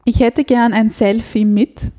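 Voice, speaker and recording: A woman's voice: a little high, fairly even intonation, unhurried, dark tone. A woman putting questions to a guest as a podcast interviewer in a conversational way.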